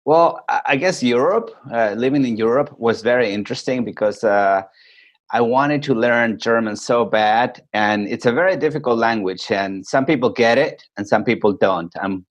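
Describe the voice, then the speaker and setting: A man from Peru, a podcast guest calling in remotely, telling his stories in a reflective, unhurried way. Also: medium pitch. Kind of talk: casual interview chat.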